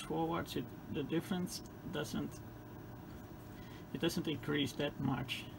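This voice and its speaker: A man's voice: mid-range voice, gravelly. A man giving an informal, hesitant, unscripted hands-on demonstration at an indoor workbench. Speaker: host demonstrating radio equipment. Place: indoor electronics lab bench. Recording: noticeable background noise in workshop.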